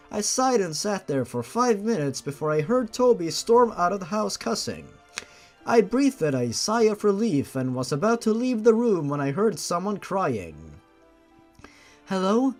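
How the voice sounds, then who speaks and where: Sarcastic male reader, higher register, very lively, dramatic narration with exasperated rants, music underneath. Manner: sarcastic, foul-mouthed, exasperated. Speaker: host reading fan fiction aloud. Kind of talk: dramatic story reading with ranting asides.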